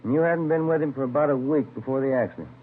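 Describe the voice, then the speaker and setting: A man playing a mild, easygoing police detective in a vintage radio crime drama, with a folksy deadpan manner. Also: medium pitch, rapid-fire, natural voice. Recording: tinny, faintly noisy vintage radio recording.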